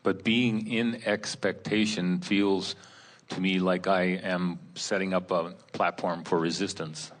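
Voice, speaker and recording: A man in the audience asking a question, halting and searching for his words. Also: low voice, flat delivery. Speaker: seminar participant asking a question.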